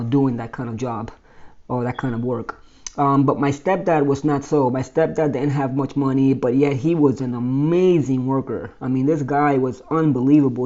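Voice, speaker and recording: Rambling man in his forties, mellow voice. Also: medium pitch, muffled recording. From Brooklyn, New York. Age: 40s. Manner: rambling, many fillers and restarts. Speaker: vlogger telling his life story. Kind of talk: casual personal storytelling.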